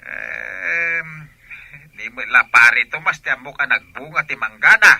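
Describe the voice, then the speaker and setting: A man with an Ilocano Filipino accent playing a gruff but obliging older village father in a radio drama. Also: fairly high pitch, expressive, unhurried, sharp tone, dry recording, heavily acted. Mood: emphatic.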